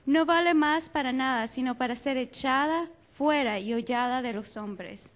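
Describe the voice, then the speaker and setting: A woman with a high voice leads a church youth panel. She introduces each guest in turn, then puts questions to the group. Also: moderate pace. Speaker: panel moderator.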